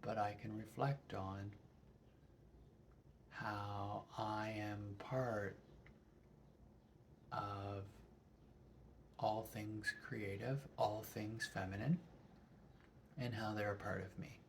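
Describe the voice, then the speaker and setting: A middle-aged man giving a thoughtful, unhurried spiritual reflection to his viewers, often asking "right?". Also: fairly low pitch, level tone, vocal fry, a little hiss or hum. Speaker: vlogger.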